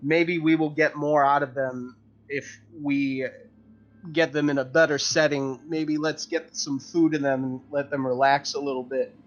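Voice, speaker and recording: A man's voice: on the high side, fairly even intonation, a little slow, faint music behind. A man talking casually as a player in a tabletop roleplaying game. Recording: dull lo-fi voice chat.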